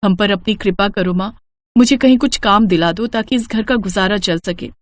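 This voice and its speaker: A woman's voice: medium pitch, moderate pace, close to an everyday voice. Woman acting an earnest, pleading poor village mother. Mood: prayerful pleading. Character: poor, devout, caring village wife and mother.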